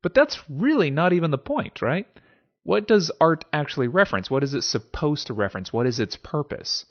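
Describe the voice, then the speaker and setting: A man's voice: on the high side, very animated, brisk, lo-fi sound, soft background music. A man giving an informal explanatory monologue as a host, conversational and musing, often posing rhetorical questions. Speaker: host of a philosophy series.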